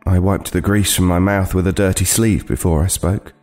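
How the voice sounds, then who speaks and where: An actor playing an uneasy homeless man who narrates his horror tale in a halting, brooding way. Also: low-pitched, fairly even intonation, a little mellow, lightly acted. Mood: haunted, halting. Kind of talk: dramatic first-person horror narration. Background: music.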